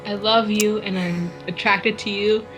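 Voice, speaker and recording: A woman's voice: medium pitch, fairly even intonation, a little slow, lightly acted, poor audio. A woman reading the part of an affectionate, easily flustered boyfriend in a fan fiction, tender and a little exasperated, over music. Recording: music playing behind the voice.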